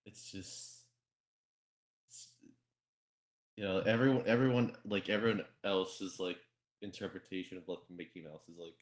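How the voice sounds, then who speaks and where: A man's voice: deep voice, fairly even intonation, normal speed, pauses often. A man hosting a casual podcast chat, rambling and repeating himself with plenty of filler and swearing. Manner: rambling, repetitive, heavy swearing and filler words. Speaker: podcast host. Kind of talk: casual group podcast chat about games and fandubbing. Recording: roomy, echoey voice recording.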